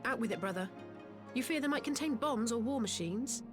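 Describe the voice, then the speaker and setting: A blunt, sharp-tongued female warrior, voiced by a woman, who gets straight to the point. Game music plays clearly behind her. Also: a little high, lively intonation, fairly quick, close to an everyday voice. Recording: game dialogue over background music.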